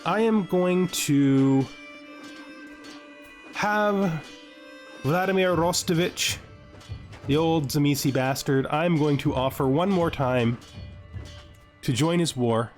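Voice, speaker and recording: A man's voice: fairly high pitch, takes it slowly, long pauses, faint music behind. A man giving casual let's-play commentary, thinking aloud and wryly addressing the game's characters. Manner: wry, talks to game characters. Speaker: gaming video host.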